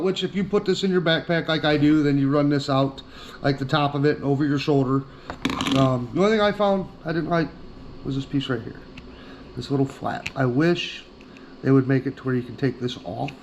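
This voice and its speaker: A man's voice: a little high, highs cut off, slight background noise. A middle-aged man giving a casual, plainspoken product demonstration as an outdoor gear reviewer, often repeating himself for emphasis.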